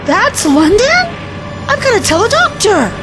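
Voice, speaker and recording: A woman acting an excitable young time-travel companion in an audio drama, urgent and breathless with news. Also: very high voice, normal speed. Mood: urgent, excited. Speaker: actor. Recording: loud music bed behind the voice.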